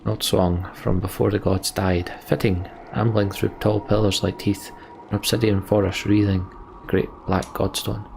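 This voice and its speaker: Low, gravelly man narrating a game. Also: level tone, normal speed, a little mellow, slight background noise. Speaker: let's play host reading game text aloud. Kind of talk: dramatic storytelling narration with casual commentary.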